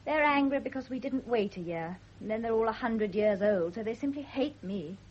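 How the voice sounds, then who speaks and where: A woman's voice: fairly high pitch, lively intonation, moderate pace, husky. A woman playing a naive young British wife in a radio drama, with a girlish, eager manner. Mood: chatty, defensive. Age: young adult. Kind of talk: 1970s radio drama acting. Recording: dull lo-fi old radio recording.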